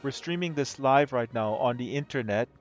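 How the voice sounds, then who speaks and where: A man with a hoarse voice giving casual, excitable live-stream commentary, rambling and gushing with amazement. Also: medium pitch, normal speed. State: hoarse from talking all day. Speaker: live streamer, virtual world tour guide. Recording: dull lo-fi stream audio with music underneath. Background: music playing.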